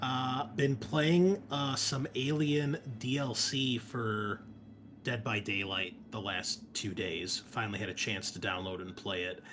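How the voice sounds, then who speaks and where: A man's voice: lowish voice, fairly even intonation, unhurried, noisy background. A man hosting and running a tabletop game in a casual, enthusiastic, sweary, conversational way. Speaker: game master and host.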